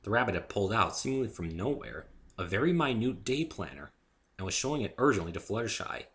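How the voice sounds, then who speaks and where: A man reads a story aloud in a bright, forceful voice. His pitch is animated and his delivery is expressive, like dramatic amateur storytelling. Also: quick pace. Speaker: amateur narrator and author. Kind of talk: dramatic first-person story narration with acted dialogue.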